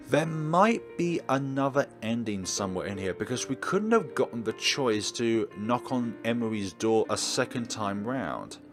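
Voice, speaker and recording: A man doing let's play commentary, thinking aloud and reading visual novel text as he goes. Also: lowish voice.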